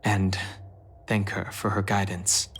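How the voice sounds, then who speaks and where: Lowish male voice, reserved young man, earnest, dutiful, video game dialogue. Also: level tone, slow, a bit bright, slight background noise, full character voice. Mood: subdued, sincere.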